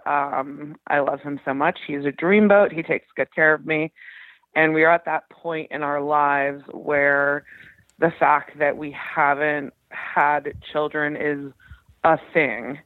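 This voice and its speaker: A woman's voice: sits low, fairly even intonation, takes it slowly, no room sound. A woman in her thirties talking candidly and chattily, with self-deprecating humour, as a caller over a phone line. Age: 30s. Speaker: caller seeking advice; education professional. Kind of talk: candid personal conversation. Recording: thin, tinny phone call.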